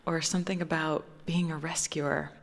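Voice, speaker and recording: A woman's voice: deep voice, little pitch movement, normal speed. A woman chatting casually and tentatively on stage, musing aloud. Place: large opera house stage. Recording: echoey theatre, live stage.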